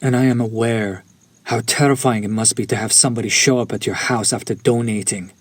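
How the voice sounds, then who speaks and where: A man's voice: on the low side, steady tone, a little fast, no room sound, natural voice. A man playing a courteous, lovesick, centuries-old vampire in an intimate audio roleplay, with formal, old-fashioned and pleading speech. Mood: apologetic, formal. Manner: formal, archaic, ornate, apologetic phrasing. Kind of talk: scripted romantic audio roleplay, intimate direct address.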